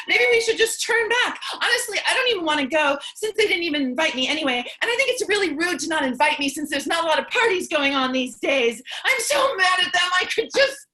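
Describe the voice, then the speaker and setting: An actress playing a lonely, anxious, chatty survivor of the apocalypse in a staged reading, with a dramatic, rambling delivery. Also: female voice, very high-pitched, animated, quick pace, few pauses, bright, forceful tone, heavily acted. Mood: anxious, indignant. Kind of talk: staged reading of a theatrical fable.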